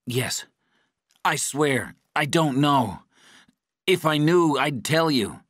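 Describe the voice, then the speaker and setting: A man's voice: on the low side, animated, measured pace, dry recording, clean studio sound, voice pushed up. A man voicing a terrified male captive who pleads with his tormentor in an audiobook. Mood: frightened, pleading. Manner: pleading, frightened. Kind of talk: audiobook character dialogue.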